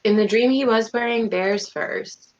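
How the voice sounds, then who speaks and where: A woman's voice: fairly high pitch, measured pace. A woman talking casually as a player in an online tabletop game. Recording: slightly dull online mic.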